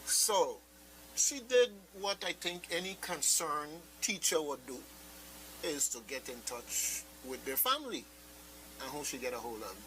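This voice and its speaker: Host: man, Caribbean accent, crisp bright voice, conversational and emphatic, slight hum and hiss behind him. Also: fairly high pitch, very animated, unhurried, rough recording. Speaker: radio host. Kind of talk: casual discussion of a radio drama.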